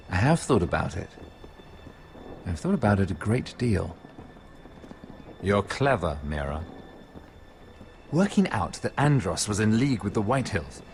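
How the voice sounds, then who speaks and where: An actor playing a scheming, wealthy merchant lord in a story game, with silky, mocking, falsely friendly speech. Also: male voice, on the low side, slight background noise, exaggerated voice. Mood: menacing. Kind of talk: video game voice acting.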